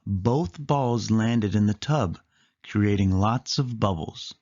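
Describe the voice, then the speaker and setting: A man's voice: fairly low pitch, slow pace, dark tone, muffled recording. A man narrating a children's picture book aloud, leaning emphatically on key words. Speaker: storybook reader.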